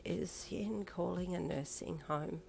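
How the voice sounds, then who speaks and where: A woman voicing a frail elderly father who speaks softly and slowly, close to tears. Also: fairly low pitch, creaky, soft background music, exaggerated voice. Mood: tearful. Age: older. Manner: soft, slow, emotional.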